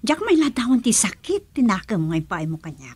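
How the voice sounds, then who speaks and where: A woman's voice: fairly high pitch, sing-song, a little fast, darkish tone, breathy edge, no room sound, exaggerated voice. A woman with a Filipino accent playing a bitter, wounded woman in an Ilocano radio drama, anguished and reproachful. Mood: hurt, accusing.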